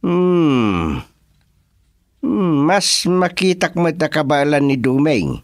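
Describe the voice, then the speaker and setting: A man with an Ilocano accent playing an authoritative middle-aged village chairman in a radio comedy-drama, speaking in a deliberate, speechifying way. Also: on the high side, very animated, dry recording, clean studio sound, heavily acted. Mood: measured, authoritative.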